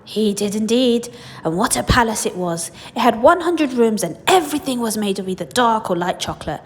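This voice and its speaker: A woman's voice: fairly high pitch, animated, fairly quick, long reverb tail, lightly acted. A woman voicing a mysterious old grandfather who tells secrets with dramatic emphasis in a children's storybook. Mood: mysterious, emphatic. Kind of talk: children's storybook read-aloud.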